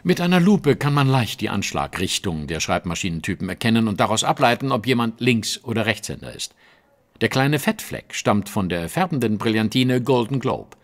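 Self-assured genius detective, audio drama; precise lecturing delivery with lively, swooping intonation. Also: male voice, lowish voice, moderate pace. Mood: confident, explaining. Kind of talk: German audio drama acting.

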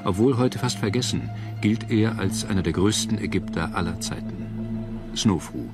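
A man reading scripted documentary narration in a measured, solemn manner. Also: fairly low pitch, over music.

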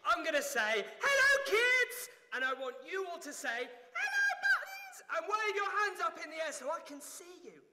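A very high, wildly swooping voice rings through an echoey theatre over loud music. It is a man as an excitable young pantomime servant lad, friendly and calling out to the audience. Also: moderate pace, hardly any pauses, exaggerated voice. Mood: eager, rousing.

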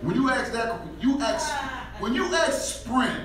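A middle-aged man from Jamaica with a light Jamaican accent, doing an animated stand-up set on a small club stage and breaking into loud shouted bursts. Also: extremely high pitch, measured pace, crowd noise. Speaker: stand-up comedian. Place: small comedy club stage with a live audience. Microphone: handheld mic, filmed from the back of the room. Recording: echoey club room, distant camera mic, audience noise.

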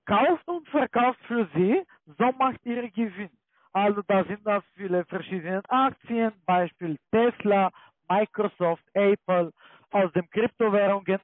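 A man with a foreign accent, not a native German speaker, giving a repetitive scripted sales pitch as a call-centre agent, often checking that he is followed. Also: no echo. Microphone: telephone. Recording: muffled low-fidelity phone call.

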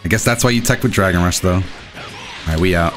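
A young adult man giving excitable, self-deprecating live commentary over his own gameplay as the host. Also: sits low, quick pace, over music. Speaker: gaming video host.

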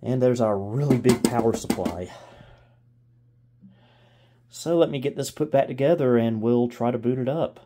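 Mellow-voiced man thinking aloud. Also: mid-range voice, moderate pace, pauses often. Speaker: host of a vintage computer video channel. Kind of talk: casual hands-on commentary. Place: indoors in a home room.

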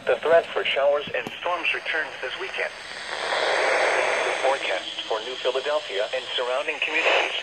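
A computerized man's voice announces a weather forecast in a formal, stilted way at a middle pitch. It comes out of a radio speaker, with clear noise over the reception. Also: normal speed. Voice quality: synthetic, stilted.

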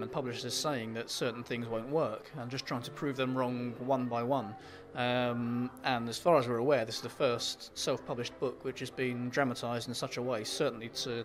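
A man with an English accent, an author answering interview questions in a halting way, full of ums and repeated words. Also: mid-range voice.

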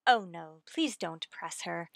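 A woman's voice: fairly low pitch, animated, slow, gravelly, dry recording, clean studio sound, full character voice. An actress playing a genteel, sympathetic and inquisitive lady in an old-time radio drama, speaking politely and expressively. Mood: gentle coaxing.

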